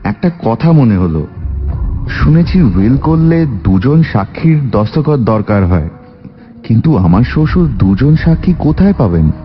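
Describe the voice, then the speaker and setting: A man's voice: medium pitch, sing-song, measured pace, muffled recording, soft background music. A man playing an awkward, diffident son-in-law voicing a doubt in an audio drama. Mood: diffident, doubtful. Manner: hesitant, diffident.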